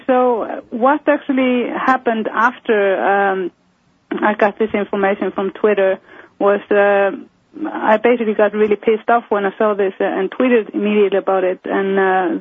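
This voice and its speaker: A woman speaks as a phone-in guest, pausing often to search for her words. Her voice is slightly husky and mid-pitched, and it comes through a dry, tinny phone line. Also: little pitch movement, dry recording. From Iceland. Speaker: guest, member of parliament. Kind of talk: phone-in interview.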